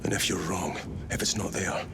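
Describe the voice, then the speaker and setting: A man's voice: deep voice, steady tone, normal speed, vocal fry. An actor playing a grim, weary male space marine captain who gives stern, clipped commands in a game cutscene. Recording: game audio with score underneath.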